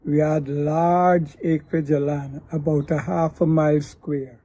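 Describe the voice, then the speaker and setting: An older man from Guyana, a pastor telling his daughter his life story in a slow, deliberate way. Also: a little high, flat delivery, warm tone. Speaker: pastor and father of the host. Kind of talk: reflective family interview.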